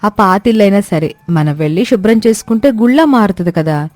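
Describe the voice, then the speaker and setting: A woman voicing a gentle, sensible young daughter-in-law in a cartoon story, speaking politely and soothingly. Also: medium pitch, lively intonation, a little fast, no room sound, soft background music, close to an everyday voice. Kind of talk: animated moral story cartoon dubbing.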